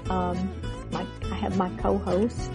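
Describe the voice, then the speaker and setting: A woman hosting a podcast with casual chat, rambling and halting as she introduces the show. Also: medium pitch, flat delivery. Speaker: podcast host. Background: guitar music.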